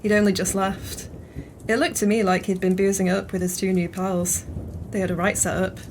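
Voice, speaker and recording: A wry, sharp-witted female pub regular played by a woman in an audio play. Her medium-pitched voice is teasing, with faint ambience behind it. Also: little pitch movement, moderate pace, a little hiss or hum, close to an everyday voice.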